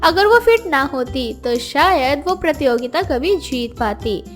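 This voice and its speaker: A woman's voice: high-pitched, expressive, a little slow. A woman storyteller delivering scripted narration for a moral tale. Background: background music.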